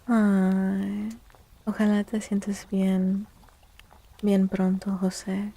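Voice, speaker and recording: A soft-spoken woman live streaming ASMR chat on a close microphone, gently greeting viewers, often repeating phrases and slipping into breathy whispers. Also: medium pitch, completely flat delivery, slow pace.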